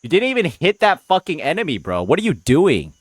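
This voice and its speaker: Brief: male streamer mocking a rival's gameplay, dry close sound, animated delivery. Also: a little high, brisk, no room sound. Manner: mocking, profane, exasperated. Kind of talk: reacting to gameplay footage.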